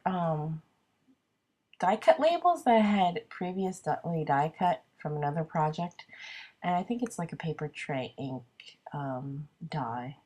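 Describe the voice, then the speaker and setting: Woman, congested and husky, lowish voice, chatty self-deprecating crafter talking through her work. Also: a little mellow. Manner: chatty, self-deprecating, thinking aloud with many ums. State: congested with a cold. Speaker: card-making crafter. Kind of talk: casual craft video narration.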